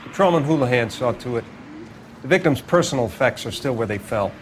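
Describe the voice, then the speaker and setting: Crime-scene coroner, male actor, mid-pitched, detached and clinical, game dialogue with clear ambient noise. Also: lively intonation, close to an everyday voice. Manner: measured, clinical. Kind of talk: cinematic video game acting.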